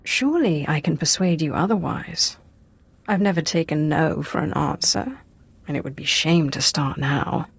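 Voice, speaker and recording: A woman's voice: low-pitched, normal speed, creaky, breathy edge, heavily acted. A woman playing a self-assured Sith in a video game, composed and coolly persuasive. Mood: smoothly persuasive. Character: poised, self-assured female Sith, smoothly charming and persuasive. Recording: music behind the voice.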